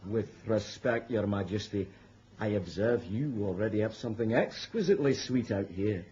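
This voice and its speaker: An actor in a radio drama playing a gallant, flirtatious and wry Celtic knight with a courtly, lyrical way of talking. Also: male voice, fairly low pitch, expressive, moderate pace, dark tone, natural voice. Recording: muffled radio recording with hiss.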